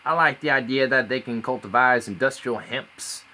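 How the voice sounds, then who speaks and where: A man's voice: medium pitch, normal speed, bright, forceful tone. A man streaming casually, rambling and swearing as he reads aloud. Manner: rambling, laid-back, swears often. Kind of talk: casual live-stream chat. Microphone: phone.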